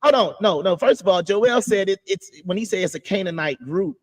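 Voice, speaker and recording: Podcast host guy, high voice, repeats himself a lot, talks over people. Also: animated, brisk. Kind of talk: casual podcast discussion.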